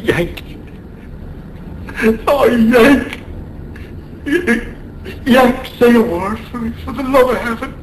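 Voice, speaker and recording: An actor playing a devout, anguished Irish sailor in a radio drama, pleading and emotional with a lilting delivery. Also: male voice, extremely high pitch, slow, pauses often. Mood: panicked grief. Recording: noisy background in radio play.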